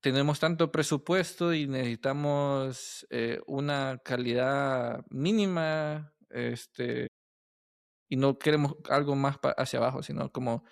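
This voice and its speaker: Guy hosting a casual interview, gravelly voice, feels his way through questions, super dry sound. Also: medium pitch, unhurried, no room sound. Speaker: podcast host, interviewer.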